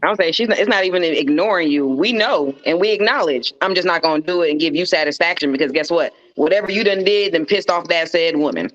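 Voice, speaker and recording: An American woman with a deep voice, talking bluntly and swearing freely in a casual panel discussion. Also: quick pace, few pauses, no echo. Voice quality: deep, bassy. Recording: slightly dull, lo-fi, dry sound.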